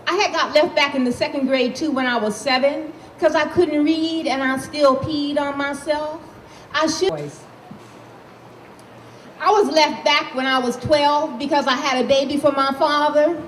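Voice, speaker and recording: A blunt, defiant teenage girl from Harlem with a streetwise, profane way of talking, as a woman reads her aloud from a novel. Her voice sits fairly high. It rings in an echoey room with a faint hiss behind it. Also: fairly even intonation, measured pace. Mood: matter-of-fact. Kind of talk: reading a novel aloud in character.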